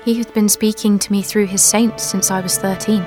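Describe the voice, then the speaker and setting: A woman's voice: medium pitch, flat delivery, moderate pace, lightly acted. An actress playing a devout, resolute teenage peasant girl who leads an army, in an earnest audio drama style. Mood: solemn conviction. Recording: dramatic music behind the voice.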